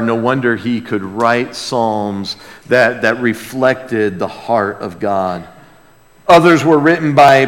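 A man preaching an expository sermon, reverent and emphatic, moving between loud proclamation and hushed emphasis. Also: mid-range voice. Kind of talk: expository sermon with dramatic scripture reading. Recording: long reverberant hall sound.

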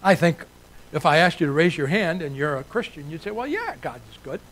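An older man from Italy delivers a sermon with a slightly high voice. He preaches earnestly and builds his points through rising repeated lists. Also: animated, a little fast, a bit bright. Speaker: guest preacher.

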